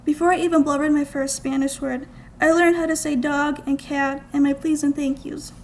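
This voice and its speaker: A young woman from the United States reading her own writing aloud at a live event indoors, with deliberate, expressive phrasing. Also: high voice, a little hiss or hum. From United States, small-town Minnesota. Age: young adult. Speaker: student writer reading own work. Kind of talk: scripted literary reading aloud. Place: college art gallery, indoors.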